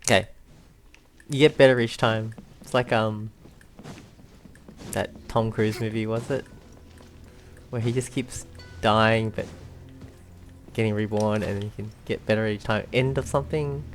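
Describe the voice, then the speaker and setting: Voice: medium pitch, fairly even intonation, measured pace, long pauses, faint music behind. A gamer giving casual commentary while playing, talking to themselves and viewers with frequent exclamations. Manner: talks to self and viewers, exclaims, giggles often. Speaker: let's play video host.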